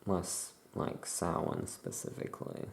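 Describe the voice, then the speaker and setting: A soft-spoken ASMR creator playing a witch shopkeeper, musing aloud in an unhurried way. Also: reverberant, slight background noise.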